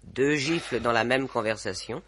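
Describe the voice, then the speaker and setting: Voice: medium pitch, normal speed, fairly bright tone, natural voice. A performer voicing a prim, coquettish wife in an old radio comedy recording with crowd noise. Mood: coy. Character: prim, coy woman, a wife.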